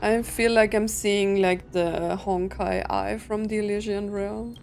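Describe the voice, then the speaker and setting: A woman's voice: medium pitch, fairly even intonation, a little slow, vocal fry, darkish tone, a little hiss or hum. A woman giving casual gameplay commentary, musing aloud in a rambling way. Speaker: video game streamer.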